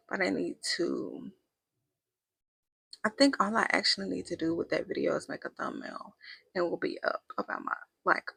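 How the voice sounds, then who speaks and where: A woman with a raspy voice that is rough from her first talking of the day, chatting loosely through a planner voice-over with lots of ums and likes. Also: mid-range voice, pushed and bright, no room sound. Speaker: planner vlogger. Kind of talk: casual voice-over chat. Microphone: voice-over mic.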